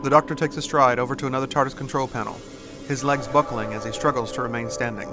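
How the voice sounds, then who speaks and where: A man's voice: mid-range voice, fairly even intonation, quick pace. A man reading scripted, descriptive narration for an audio drama. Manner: descriptive, present-tense storytelling. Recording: music behind narration.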